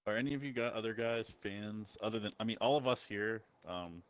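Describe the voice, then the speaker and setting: A man from Texas in a casual group call, teasing friends and firing off quick questions. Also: lowish voice, smooth.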